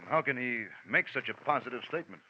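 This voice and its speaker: A man's voice: mid-range voice, fast talker, bright, forceful tone. Worried man, muffled old radio. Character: worried, questioning man concerned for a woman. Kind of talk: 1950s radio drama acting. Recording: muffled, faintly noisy old radio recording.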